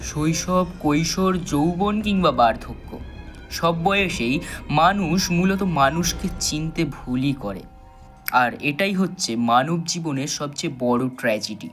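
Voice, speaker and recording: A male narrator with a slightly high voice tells a thriller story. He phrases it dramatically, with measured pauses, over soft background music. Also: animated.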